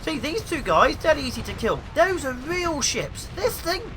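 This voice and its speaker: A young man with the flu, sounding sniffly, giving excitable let's play commentary. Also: very high voice, a little slow, noisy background. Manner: excitable, mutters at enemy ships. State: sniffly with flu, sore throat. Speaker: gaming YouTuber.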